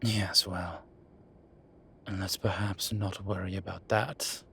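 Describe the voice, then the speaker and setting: Slow, low-voiced suave demon professor played by a man. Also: little pitch movement, gravelly, pushed and bright, slight background noise, heavily acted. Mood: measured, serious. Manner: formal, measured, teasing, wry. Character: suave male incubus demon professor, wry, vain, unhurried. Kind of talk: scripted audio roleplay.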